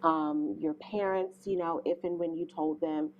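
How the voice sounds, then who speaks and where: A woman's voice: deep voice, steady tone, measured pace, phone-quality sound, a little hiss or hum. A woman co-hosting an interview, speaking earnestly and thoughtfully with a big voice and many fillers. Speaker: co-host. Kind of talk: interview questions.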